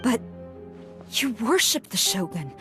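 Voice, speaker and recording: A stern young officer in a fantasy video game. The voice is on the high side, formal and earnest with a proud, commanding edge, music faintly underneath. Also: female voice, big pitch swings, takes it slowly, fairly bright tone, heavily acted. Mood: pleading, betrayed.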